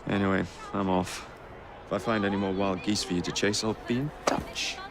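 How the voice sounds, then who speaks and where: A man's voice: fairly low pitch, fairly even intonation, brisk, close to an everyday voice. An actor playing a playful young male assassin who mocks his sister. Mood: teasing. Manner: mocking, playful. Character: teasing young male assassin, the woman's brother.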